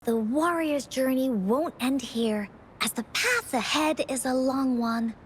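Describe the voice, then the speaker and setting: Game role: little storyteller girl. High, animated, cheerful, earnest, some background rumble. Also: slow. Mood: gentle narrating. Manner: dramatic storybook narrating, exclaiming. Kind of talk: video game voice acting, storytelling.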